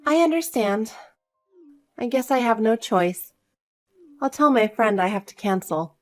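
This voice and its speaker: A woman's voice: a little high, animated, measured pace, soft background music. A woman voicing an insistent, pleading mother in an acted family story. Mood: disappointed. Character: pleading, inconsiderate adult mother asking for childcare.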